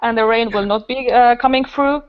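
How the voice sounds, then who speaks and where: A woman's voice: a little high, moderate pace, muffled recording, a little hiss or hum. A woman chatting earnestly on a podcast, explaining things in a rambling way. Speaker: podcast guest, improv festival organizer. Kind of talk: casual podcast discussion.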